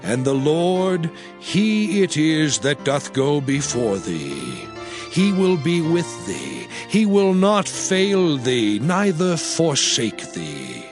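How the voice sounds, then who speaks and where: Moses-like aged prophet, grave declamation, slightly high male voice, slow deliberate delivery, music behind, audio drama. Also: very animated, heavily acted. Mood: solemn encouragement. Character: Moses, aged prophet and leader, solemn and exhorting. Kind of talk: dramatized scripture reading, solemn oration. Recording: background music under the voice.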